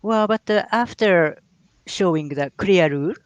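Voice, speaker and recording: Guy talking slow and careful, lots of uhs, dry close sound. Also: sits high, smooth, dry recording, lo-fi sound. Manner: hesitant, many fillers and restarts, emphatic on key words. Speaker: guest language scholar. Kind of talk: live online talk with Q&A. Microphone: video call.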